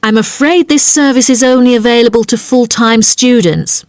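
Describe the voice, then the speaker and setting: A woman's voice: a little high, normal speed, no room sound, studio quality, natural voice. A woman playing a polite, helpful job centre agent in a scripted language-test dialogue, courteous and businesslike.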